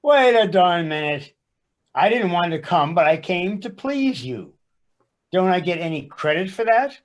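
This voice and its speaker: Actor as a grumpy husband, swooping exasperated delivery. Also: male voice, high-pitched, sing-song, unhurried. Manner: exasperated, sarcastic, contrary. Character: reluctant, grumpy, argumentative husband at couples counseling. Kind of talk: comic readers theater sketch.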